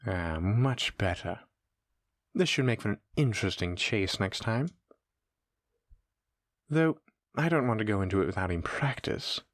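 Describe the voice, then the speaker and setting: Casting: male actor for a sadistic, playful monster in horror audio, lowish voice, close dry sound, taunting and musing with slow menace. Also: no room sound. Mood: scheming. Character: sadistic playful male shape-shifting monster, sinuous and many-legged. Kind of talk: horror audio roleplay addressed to the listener.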